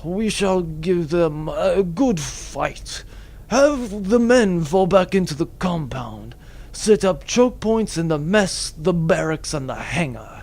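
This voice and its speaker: A man playing a grave veteran commodore turned rebel in a sci-fi audio drama, speaking formally and deliberately. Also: creaky, a little mellow, heavily acted. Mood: resolute. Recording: cavernous echo, rumbling background noise.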